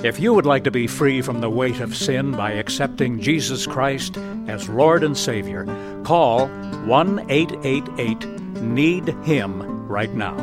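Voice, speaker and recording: A man's voice: medium pitch, animated, measured pace. A man announcing a radio program in a scripted read. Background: plucked guitar music.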